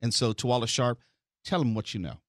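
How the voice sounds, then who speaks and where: Male podcast host, mid pitch, dry close mic, measured and articulate. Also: no room sound. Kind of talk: podcast commentary.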